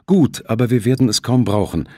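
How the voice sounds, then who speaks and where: A man reading as a placating police deputy, pitched up. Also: animated, brisk, dark tone, breathy edge, no echo, clean studio sound. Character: cautious male police deputy chief, unhurried.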